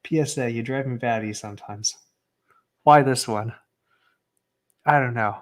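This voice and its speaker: A young man casually commenting as he unboxes graded trading cards, understated and matter-of-fact. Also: a little slow. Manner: low-key and understated, self-described as not excitable.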